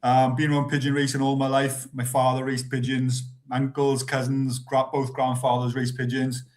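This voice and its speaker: A man's voice: medium pitch, monotone, normal speed, a little mellow. A man in his fifties with a South Welsh accent, telling about himself plainly as a podcast guest. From South Wales. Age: 50s. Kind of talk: casual podcast chat.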